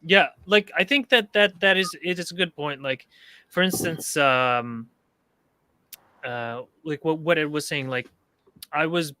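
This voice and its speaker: A man from Canada with a bright, slightly high voice chats casually in a discussion he hosts. His phrasing is halting and hesitant, and the sound is dry, without room echo. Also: smooth. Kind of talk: casual personal anecdote in discussion.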